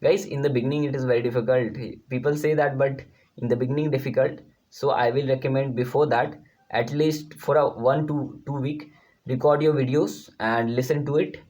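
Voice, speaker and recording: Male online tutor, Indian accent, Hindi first language. Informal teaching, halting and emphatic, mid-range voice, repeats points. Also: mid-range voice, fairly even intonation, darkish tone, poor audio. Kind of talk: informal lesson to viewers.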